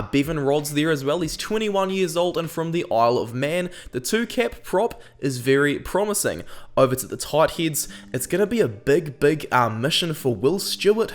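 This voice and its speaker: A young man with a New Zealand accent talks through a rugby squad as host, chatty and opinionated, with plenty of "um" fillers. He is still getting over a cold, and his pitch sits in the middle range for a man. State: recovering from a cold. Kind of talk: casual scripted commentary.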